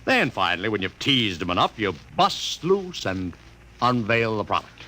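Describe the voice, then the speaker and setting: Ad agency chief: slangy wise guy, forceful and animated, vintage radio acting. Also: lowish voice, moderate pace. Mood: breezy, confident. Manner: breezy, slangy, wry. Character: brisk, wisecracking, money-minded male advertising agency boss. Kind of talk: old-time radio drama acting. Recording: noisy old radio recording.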